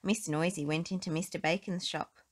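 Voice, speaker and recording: A woman reading a children's story aloud as narrator, in an expressive, deliberate storytelling manner. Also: on the low side. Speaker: storybook reader.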